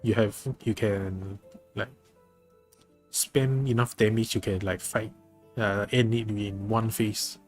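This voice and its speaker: A man giving casual live game commentary as a streamer, halting and often rephrasing himself. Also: lowish voice, steady tone. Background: game music.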